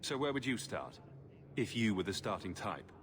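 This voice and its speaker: An actor playing a helpful, dryly wry adventurer hero in a fantasy video game, talking casually. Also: male voice, lowish voice, sing-song, moderate pace, a bit bright, slight background noise. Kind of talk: scripted game cutscene acting.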